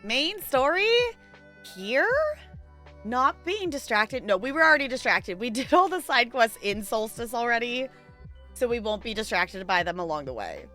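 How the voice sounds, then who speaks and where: A woman with a bright, forward voice pitched a little high, talking like a game streamer to viewers. The delivery is chatty and loosely rambling, with playful digs at oneself, over some background music. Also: sing-song, normal speed. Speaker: video game live streamer. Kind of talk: casual livestream chat, answering viewers. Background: music behind the voice.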